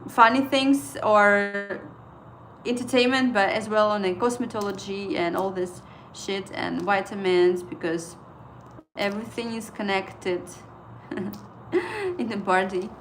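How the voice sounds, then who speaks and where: A woman in her thirties, a native Estonian speaker with an Estonian accent, hosting a casual trading livestream and chatting with viewers in halting English full of fillers. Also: medium pitch, steady tone, a little hiss or hum, low-quality recording. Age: 30s.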